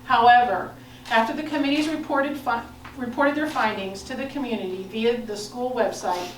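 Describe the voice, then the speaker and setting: American female official, higher-pitched, formal read statement, distant and very roomy meeting recording with faint noise. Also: normal speed. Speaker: school board president. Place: indoor board of education meeting room.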